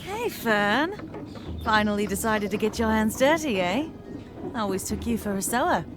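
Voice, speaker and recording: A woman's voice: mid-range voice, lively intonation, moderate pace, over music, lightly acted. A woman voicing a cheeky, blunt young female rabbit farmhand in a fantasy game, with a teasing, sardonic manner. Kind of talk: visual novel voice acting.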